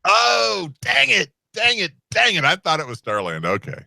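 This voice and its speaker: A man's voice: sits high, wildly swooping pitch, moderate pace, vocal fry, bright, forceful tone, no echo, clean studio sound. A man co-hosting a casual live chat show, playful and self-deprecating as he guesses along in a music quiz.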